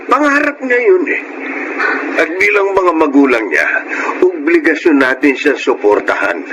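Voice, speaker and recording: Animated, highish Filipino father, earnest. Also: a little slow. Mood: persuading. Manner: earnest, reasoning. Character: concerned, supportive father of a schoolboy. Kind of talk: Filipino radio drama acting. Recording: noisy radio drama audio.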